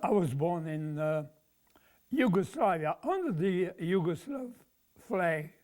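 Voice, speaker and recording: High-voiced man in his nineties. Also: big pitch swings, darkish tone. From Croatia. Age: 90s. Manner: halting, with many pauses and fillers. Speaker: veteran being interviewed. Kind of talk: oral history interview.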